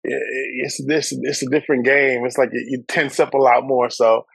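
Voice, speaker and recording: A man's voice: medium pitch, little pitch movement, moderate pace, vocal fry, husky, no room sound, low-quality recording. A man from Trinidad, a company founder speaking as a guest, talking emphatically and repeating his phrases with salesman-like enthusiasm. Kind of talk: casual interview chat.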